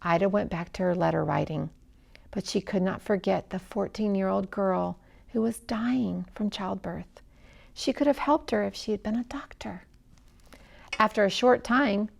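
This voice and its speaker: Expressive woman storyteller with a creaky voice. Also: medium pitch, normal speed. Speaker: storyteller reading a missionary story to children. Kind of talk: scripted storytelling narration.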